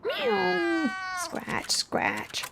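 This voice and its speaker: A woman's voice: very high-pitched, huge pitch swings, very slow, gravelly, background noise, pitched above the performer's own voice, full character voice. A woman voicing a hungry, vexed male cat who sighs and mews plaintively outside a shop. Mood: plaintive mewing. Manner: sighing, mewing plaintively.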